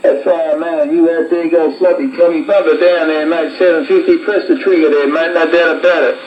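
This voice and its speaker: A man's voice: on the high side, fairly even intonation, a little fast, lo-fi sound, a little hiss or hum. An Australian man in casual, slangy CB radio banter, heard as a radio transmission. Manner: slangy, playful radio patter. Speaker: amateur CB radio operator. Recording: CB radio with music behind.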